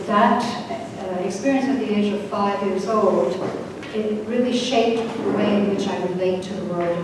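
A woman in her sixties speaking as a panelist at a community event, measured and reflective. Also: fairly low pitch. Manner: measured, reflective, a few restarts. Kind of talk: panel talk. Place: community meeting hall, indoors. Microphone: table mic on stand. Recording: echoey hall from far off, noisy.

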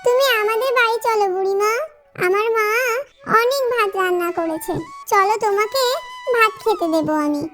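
A very high, childlike little fox girl from a children's cartoon. She is sweet and pleading, poor but kind, with music playing behind her. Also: moderate pace. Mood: kind, eager.

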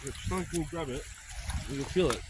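A man's voice: fairly high pitch, fast. A man giving casual, hands-on fishing instructions to companions outdoors by the water. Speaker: fishing companion explaining a technique. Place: outdoors at a beach by the water at night. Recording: very noisy outdoor field recording. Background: loud outdoor water noise.

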